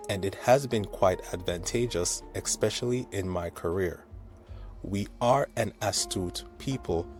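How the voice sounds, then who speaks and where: A man from Jamaica giving earnest, admonishing religious commentary in the manner of a scripted teaching. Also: sits low. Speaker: religious teacher and video host.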